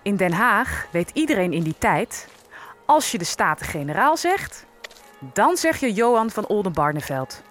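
A woman's voice: mid-range voice, very animated, normal speed, faint music behind. A woman narrating a scripted historical story, telling it with wry asides.

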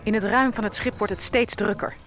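An actress playing a sorrowful, observant enslaved African woman, narrating an audio drama in a measured, reflective way. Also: medium pitch, steady tone, fairly bright tone, natural voice. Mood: somber, reflective.

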